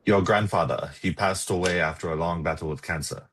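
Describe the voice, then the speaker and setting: A man acting at a table read as a formal, matter-of-fact male estate lawyer, with a husky voice. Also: sits low, brisk, a bit bright. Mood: businesslike. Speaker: actor reading at a script table read.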